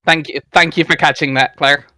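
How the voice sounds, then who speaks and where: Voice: mid-range voice, steady tone, brisk, pushed and bright, dry recording. A streamer hosting a dramatic reading, switching between narrating aloud and casual chat. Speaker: stream host and reader.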